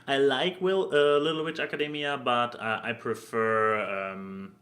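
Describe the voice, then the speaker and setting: A man from Germany with a German accent, chatting casually as a podcast co-host in a halting, rambling way. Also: medium pitch, fairly even intonation, a bit bright. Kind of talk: casual chat.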